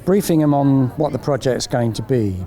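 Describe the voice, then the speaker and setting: A man's voice: mid-range voice, moderate pace, dark tone. A man speaking as a project facilitator in a documentary interview, earnest and explanatory.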